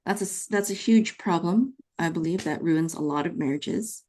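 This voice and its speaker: A woman's voice: lowish voice, normal speed, a little mellow, a little hiss or hum. A woman with a Californian American accent hosting a conversational podcast interview, explaining her opinions. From California, United States, born in the Philippines. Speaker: podcast host.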